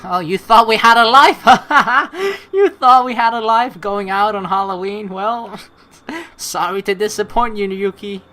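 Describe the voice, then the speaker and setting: A man's voice: high voice, a little slow, sharp tone. A young man from the Netherlands reading a game aloud and chatting casually, crude and joking, with swearing. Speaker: let's play streamer. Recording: music behind the voice. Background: video game music.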